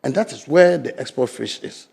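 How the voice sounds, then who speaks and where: A man's voice: lively intonation, normal speed, creaky, slightly rough. An older Ghanaian man with a Ghanaian English accent, arguing emphatically as a guest in a studio interview. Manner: emphatic and argumentative, swinging between loud stresses and low asides. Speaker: fisheries sector guest.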